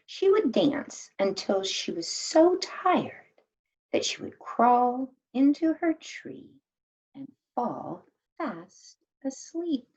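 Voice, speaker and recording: A woman reading a children's story aloud in an expressive, deliberate manner with dramatic emphasis. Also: sits high, slightly rough. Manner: animated, deliberate, dramatic emphasis for young listeners. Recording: dull, lo-fi sound.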